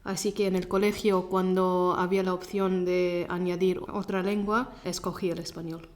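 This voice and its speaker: A woman from England, a Gujarati speaker, answers interview questions in halting, self-correcting second-language speech. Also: lowish voice, little pitch movement. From Leicester, England. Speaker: interview guest, Spanish teacher.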